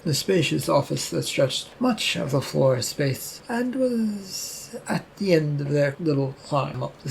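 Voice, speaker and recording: A man with a raspy voice reading scripted story narration. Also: fairly high pitch, a little mellow, slight background noise, low-quality recording.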